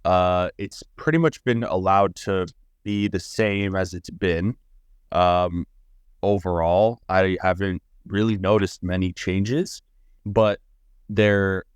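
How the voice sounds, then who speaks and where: A man's voice: sits low, clear, resonant tone, no room sound, clean studio sound. A man hosting an interview podcast, asking loose, rambling questions and giving his own views. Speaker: podcast host.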